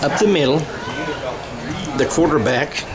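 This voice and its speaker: A man's voice: a little high, animated, measured pace, over a noisy crowd, low-quality recording. A man doing radio-style football play-by-play outdoors, with rambling, self-correcting delivery and folksy asides. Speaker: play-by-play announcer. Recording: clear outdoor stadium background noise.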